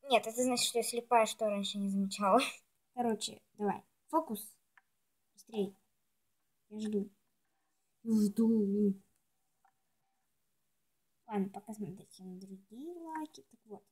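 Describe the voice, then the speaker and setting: A woman's voice: mid-range voice, unhurried, pauses often, husky. A woman video blogger chatting casually and ramblingly to herself and her viewers while showing things, chewing gum as she talks. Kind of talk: casual chatty nail polish show-and-tell.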